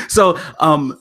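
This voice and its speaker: A man in his thirties from Oklahoma hosting a casual podcast in a natural baritone, slangy and animated, laughing often. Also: slight background noise. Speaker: podcast host.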